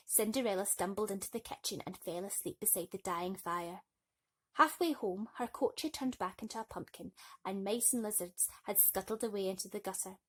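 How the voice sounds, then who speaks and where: A woman narrating a bedtime story in an expressive, theatrical way. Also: medium pitch, breathy edge.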